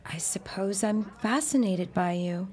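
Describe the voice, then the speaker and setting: An actress playing a poised, curious young bride-to-be in a period audio drama, polite, refined and probing. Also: female voice, mid-range voice, a little slow, darkish tone, slightly rough. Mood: politely probing.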